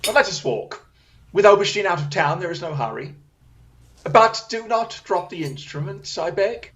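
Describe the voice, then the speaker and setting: A keen, assured gentleman detective as acted out in an audio drama, speaking in formal, precise phrases. He takes command with theatrical confidence, at a medium pitch. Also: lively intonation, normal speed, close to an everyday voice. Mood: dry, composed.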